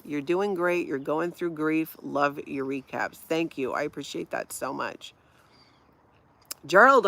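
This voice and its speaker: Livestream host: middle-aged woman, light Minnesota accent, some vocal fry, casual and chatty. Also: sits low, fairly bright tone. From Hawaii. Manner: chatty, laughs often, reacts to viewer comments. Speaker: livestream host reading chat. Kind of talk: casual live-chat talk.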